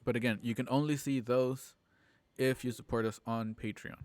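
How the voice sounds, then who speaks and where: A man hosting an online show, chatting casually and haltingly on a livestream about plans for it. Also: mid-range voice, steady tone, a little mellow, no room sound, studio quality. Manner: halting, informal. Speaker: host and organizer of an online radio drama show. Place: at home on a multistream video call.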